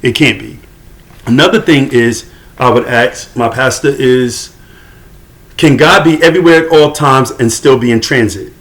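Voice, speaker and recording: Man, mid-pitched voice, solo monologue, argumentative, lecturing, questioning tone. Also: normal speed, fairly bright tone, poor audio. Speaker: religious commentator.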